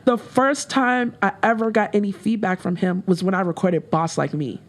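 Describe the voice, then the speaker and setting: A woman rapper with a deeper voice, talking candidly as a guest in a casual interview, with frequent fillers. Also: fairly even intonation, normal speed. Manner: candid, reflective, with laughs and many fillers.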